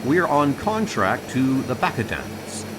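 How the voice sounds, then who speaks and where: A man's voice: medium pitch, big pitch swings, slow pace, background noise. A man voicing a brash, boastful, dismissive frontier colony boss in an audio comic dub.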